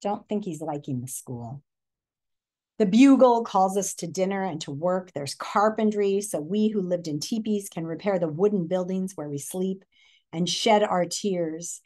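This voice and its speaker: Middle-aged American woman reading aloud expressively. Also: on the low side. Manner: expressive, questioning, pausing to address young listeners. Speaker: read-aloud presenter. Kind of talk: picture book read-aloud with teacher-like asides and questions. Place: living room, indoors.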